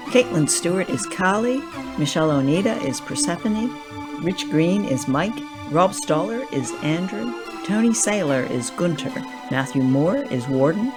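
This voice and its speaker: A woman reading scripted closing credits as the writer-director of a podcast. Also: sits low. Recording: loud music behind voice. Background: loud background music.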